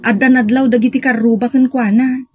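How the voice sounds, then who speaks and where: An inquisitive daughter played by a woman in an Ilocano comedy drama, protective of her father and speaking with a northern Philippine accent. Her voice is fairly high and husky, in a close dry recording. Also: fairly even intonation, fairly quick, darkish tone, no room sound. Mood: questioning, defensive. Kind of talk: Ilocano comedy radio-style drama acting.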